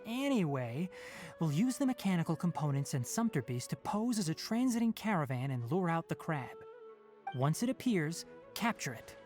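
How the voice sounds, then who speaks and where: An actor playing a calm, analytical young male forest ranger who explains his plans in a measured, matter-of-fact way in a game cutscene. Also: on the high side, soft background music. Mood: reasoned. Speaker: forest watcher.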